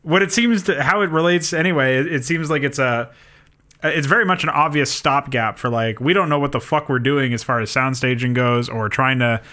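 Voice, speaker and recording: A man's voice: medium pitch, brisk, sharp tone. A man co-hosting a podcast, talking through his points in a casual, analytical way with loose, explanatory phrasing. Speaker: podcast co-host.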